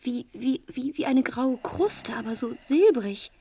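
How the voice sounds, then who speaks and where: An actress in a German radio play playing a brusque, impatient young adult daughter who works in a factory. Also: female voice, high voice, expressive, measured pace, dark tone, slight background noise. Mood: hushed, fascinated. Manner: blunt, impatient. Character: brusque grumbling grown daughter, factory worker, unafraid.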